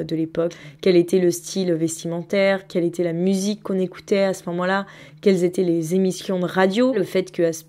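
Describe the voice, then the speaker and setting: A woman's voice: lowish voice, a little mellow. A woman in her thirties, a novelist recording a spontaneous spoken diary, reflective and thinking aloud as she talks about her writing. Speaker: novelist keeping an audio diary.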